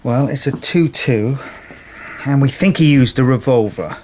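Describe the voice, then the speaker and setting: An actor playing a matter-of-fact, world-weary police detective in a naturalistic radio drama, casual and pragmatic. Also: male voice, unhurried, dark tone, slight background noise, lightly acted. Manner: casual, pragmatic, low-key.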